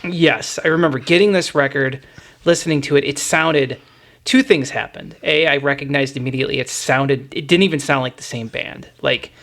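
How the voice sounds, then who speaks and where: A man's voice: medium pitch, normal speed, fairly bright tone. A middle-aged man hosting a music podcast, talking casually and explaining things in a reflective way.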